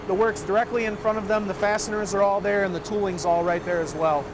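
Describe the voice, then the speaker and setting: A man's voice: high voice, steady tone, fast talker. A middle-aged man explaining a plant process informally in an interview on the factory floor. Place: large indoor car assembly plant. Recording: factory machinery noise around voice.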